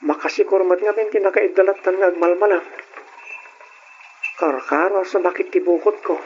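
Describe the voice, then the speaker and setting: An actor playing a frail, eager schoolboy son with a weak heart in an Ilocano-accented radio drama, speaking in a plaintive, labored way. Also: male voice, high voice, fairly even intonation, moderate pace, natural voice. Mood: weary, musing. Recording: muffled radio recording with faint noise.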